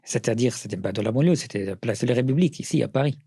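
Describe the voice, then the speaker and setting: Man with a Syrian accent, gravelly and husky, mellow tone, dry close sound, earnest interview talk. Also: mid-range voice, expressive, rapid-fire, no echo. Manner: earnest, with frequent hesitations and restarts. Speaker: writer and journalist, interview guest. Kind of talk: conversational interview answers.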